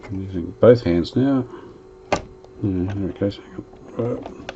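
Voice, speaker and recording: Aussie guy fixing an old radio, kinda low voice, just talking thru what he's doing, muffled lo-fi recording. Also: background noise. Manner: thinks aloud while working, chatty and unhurried. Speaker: vintage radio restorer. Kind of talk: casual hands-on workshop narration.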